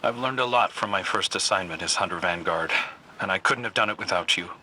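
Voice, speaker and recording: A man's voice: sits low, fairly quick, sharp tone, slight background noise, rough recording. A man voicing an earnest, easygoing hunter commander in a video game, conversational and reflective. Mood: grateful, candid. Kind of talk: video game character voice message. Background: music.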